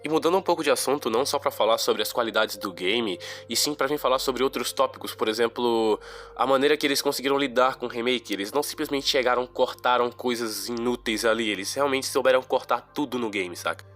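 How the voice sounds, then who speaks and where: A young man with a Brazilian accent talks through a game review in a loose, slangy, rambling way. His voice sits at an ordinary middle pitch and sounds nasal and congested from a cold. Faint music plays quietly behind him. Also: brisk. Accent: Brazilian Portuguese. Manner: slangy, chatty, rambling asides. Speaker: gaming YouTuber. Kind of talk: casual game review monologue.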